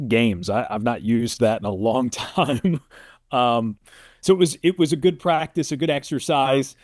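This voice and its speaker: A man's voice: mid-range voice, a little mellow, no echo, studio quality. A middle-aged American man, an interview guest, talking casually and reflectively in a storytelling way. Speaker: interview guest, sports play-by-play announcer.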